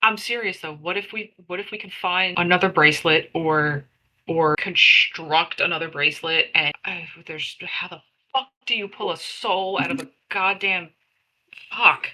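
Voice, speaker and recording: A woman's voice: on the low side, steady tone, normal speed, sharp tone, rough recording. A woman playing a tabletop roleplaying game, chatting casually in a blunt, sweary way.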